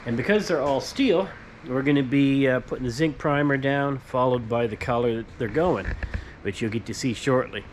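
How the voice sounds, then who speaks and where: A man's voice: medium pitch, normal speed. A man hosting a casual workshop vlog, explaining hands-on work step by step in plain words. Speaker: host, powder coating tradesman. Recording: noisy workshop background.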